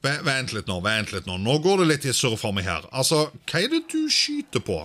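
A man's voice: medium pitch, huge pitch swings, sharp tone. A man doing casual let's-play commentary, thinking aloud and sprinkling in English exclamations. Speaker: gaming video host.

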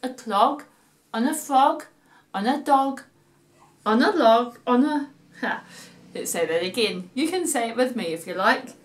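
Middle aged lady doing kids storytime at home, really slow n deliberate, voice a bit on the high side. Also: lively intonation. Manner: deliberate, emphatic, addressing young children. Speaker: children's storytime host. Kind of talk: children's storytelling, playful rhyming narration. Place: small home living room. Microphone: camera a few feet away.

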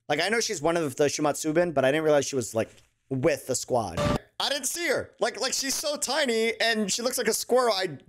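A young adult man giving excited, slangy, effusive casual reaction commentary on a video game at his desk. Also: on the high side, a little hiss or hum. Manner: effusive, slangy, uses bro and dude. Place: small indoor room at a desk. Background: game trailer music.

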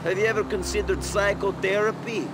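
A man's voice: high-pitched, brisk, close to an everyday voice. An actor with an Eastern European accent playing a hardened, wary male immigrant driver in a video game, speaking dryly. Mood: deadpan. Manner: dry, deadpan. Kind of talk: video game cutscene dialogue. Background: game music.

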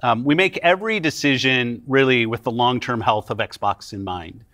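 A middle-aged man, a gaming company executive, answering podcast interview questions in a calm, deliberate way. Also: mid-range voice, no echo, clean studio sound. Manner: calm and deliberate, careful with his words. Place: studio set.

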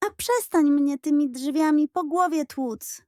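An indignant, dramatic lady giraffe from a children's storybook, put on by a woman. The voice is fairly high and theatrical, recorded dry. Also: slow pace, a little mellow, no echo. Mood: pained, indignant.

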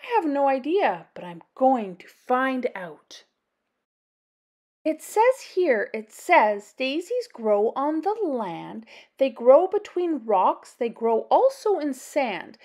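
A woman voicing a learned, pompous older male school principal from a storybook, declaiming in a measured way. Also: sits high, very animated, dark tone, exaggerated voice. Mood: puzzled, pompous. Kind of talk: storybook character voice.

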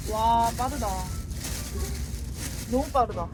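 A high-pitched woman with a Jeolla accent talks to her stream from a boat at sea. She is brash and teasing and bickers back at viewers. Loud music plays under her voice throughout. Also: slow pace, low-quality recording. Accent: Jeolla Korean. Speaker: fishing boat captain and live streamer. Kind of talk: casual live-stream chat.

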